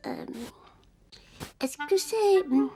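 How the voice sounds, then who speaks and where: An actor voicing a cheerful, eager little toy in a French children's cartoon, expressive and friendly. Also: very high voice, very slow, exaggerated voice. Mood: playful. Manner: bright-eyed, expressive, friendly. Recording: music behind the voice.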